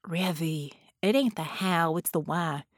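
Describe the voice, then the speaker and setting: A woman's voice: low voice, measured pace, dry recording, clean studio sound. A woman voicing a young male ghost in an audiobook, wry and earnest, with a folksy, colloquial way of talking. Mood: earnest, reassuring.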